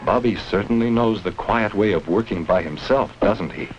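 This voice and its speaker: A man's voice: fairly low pitch, normal speed. A man reading scripted narration for a 1950s educational film, instructing children with simple questions. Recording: muffled, noisy 1950s educational film soundtrack.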